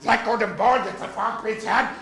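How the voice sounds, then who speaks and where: A man acts out a domineering old-tale mother goose in a comic storytelling bit. The voice is high and bright, with a bitter, scolding, foul-mouthed manner. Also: fairly even intonation, normal speed, reverberant, a little hiss or hum. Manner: domineering, swearing.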